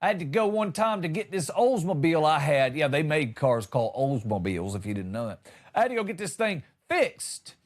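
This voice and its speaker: Folksy man telling comic stories, lively pitch. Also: a little fast. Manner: folksy, colloquial, punchy delivery with comic exasperation. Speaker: comedy podcast host and former radio entertainer. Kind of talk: comedic storytelling monologue.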